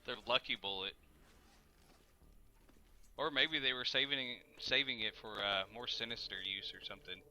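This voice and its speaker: A man's voice: medium pitch, normal speed, long pauses, bright, forceful tone. A man with a Kentucky accent casually commenting on a game he plays live, chatting easily with viewers and muttering to himself. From Kentucky, USA. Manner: easygoing, mutters to himself while playing, answers chat. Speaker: gaming livestreamer.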